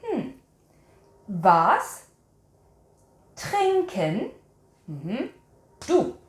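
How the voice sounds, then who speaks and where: Slow, lively-pitched woman teacher, echoey room. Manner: instructive, repeats and enunciates example sentences slowly. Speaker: language teacher and channel host. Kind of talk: quiz lesson, addressing viewers, reading example sentences.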